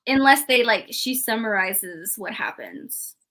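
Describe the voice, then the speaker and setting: A woman co-hosting a book discussion, chatting casually in an animated, emphatic, informal way. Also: fairly high pitch, unhurried, slight background noise. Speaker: book review show co-host. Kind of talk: casual chat about books.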